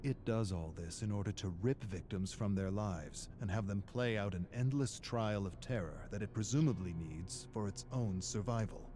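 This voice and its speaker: An actor playing a weary, scholarly archivist of a dark otherworld, reading journal entries for a game in a measured, brooding way. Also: male voice, on the low side, natural voice. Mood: grave. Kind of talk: scripted dramatic narration, journal entries. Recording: music behind the voice. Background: music.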